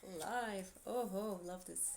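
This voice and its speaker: Woman, Portuguese accent, tarot reader, chatty and meandering, home video with steady background hiss. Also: mid-range voice, unhurried, gravelly. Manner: chatty, meandering, light laughs. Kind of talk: casual tarot reading to camera.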